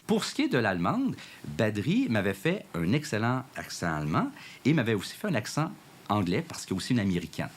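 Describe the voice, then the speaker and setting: A man's voice: on the low side, huge pitch swings, a little fast, husky. A man, a drama teacher reflecting on casting in an interview, hesitant and full of fillers. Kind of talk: documentary interview.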